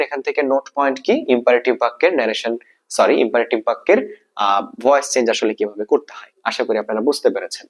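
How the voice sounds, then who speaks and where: A man with a Bangladeshi accent gives an exam-prep lesson in a medium-pitched voice. He explains each step in turn, asks questions that he answers himself, and repeats the key points for his students. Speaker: English grammar teacher.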